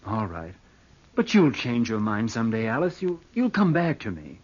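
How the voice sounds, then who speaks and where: An actor playing a murderous, imperious young heir in a theatrical 1940s radio drama style, brooding and curt. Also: male voice, mid-range voice, sing-song, moderate pace. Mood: stung. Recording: muffled old radio drama recording.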